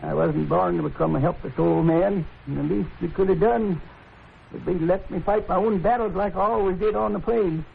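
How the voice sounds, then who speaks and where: Bitter old plainsman, creaky voice. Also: sits high, moderate pace. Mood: bitter accusation. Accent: American. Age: older. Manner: aggrieved, defiant, folksy. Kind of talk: 1950s radio western acting. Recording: tinny, noisy 1950s radio recording.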